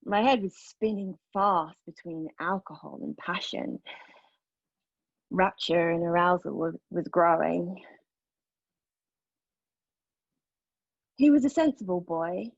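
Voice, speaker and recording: A haunted young woman played by an actress delivers an intimate theatrical monologue in a husky voice. Her delivery is halting and intense, moving between hushed confession and sharper emphasis. The sound is close and dry, though a little dull and lo-fi. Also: on the low side, no echo, heavily acted. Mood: wistful, intimate. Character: haunted young woman recounting a painful memory. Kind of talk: dramatic monologue read at a table read of a play.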